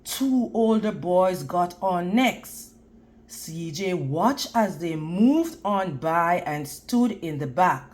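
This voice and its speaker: A woman reading a picture book aloud to children in a deliberate, teacherly manner, pausing for questions. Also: lowish voice, expressive, a little mellow. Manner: deliberate, teacherly, pausing to quiz and praise listeners. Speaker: children's read-aloud reader. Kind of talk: read-aloud storybook narration with questions to young listeners.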